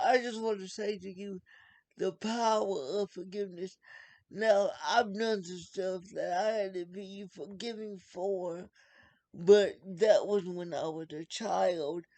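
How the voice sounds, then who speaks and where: A man's voice: high-pitched, darkish tone. A young man from North Carolina, speaking earnestly and unhurriedly to camera, often repeating himself. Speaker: video blogger. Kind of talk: casual direct-to-camera talk.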